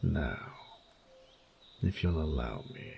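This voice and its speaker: An immense, proud dragon speaks in a bottomless, heavily creaking bass. He takes his time over formal, flirtatious phrases, murmuring intimately to his beloved in a romantic audio roleplay. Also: big pitch swings, slow pace, muffled recording, slight background noise, exaggerated voice. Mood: warm, inviting. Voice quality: very deep, gravelly, breathy murmurs. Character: huge proud male dragon, flirty, doting and courtly.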